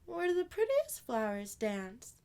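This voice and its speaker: A woman's voice: a little high, wildly swooping pitch, a little slow, warm tone, smooth, voice pushed up, full character voice. A woman voicing a curious little girl with a plaintive, wondering manner in a children's storybook reading. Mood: puzzled, curious. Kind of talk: children's storybook read-aloud.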